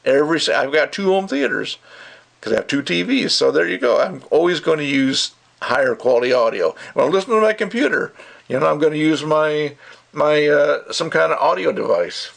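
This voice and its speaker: An older man with a gravelly, creaky voice giving a casual, rambling review in an opinionated, emphatic way. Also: on the high side, lively intonation, fairly quick, fairly bright tone. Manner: opinionated, rambling, emphatic, acts out mocking quotes. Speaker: audio gear reviewer. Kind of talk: casual ranting video review.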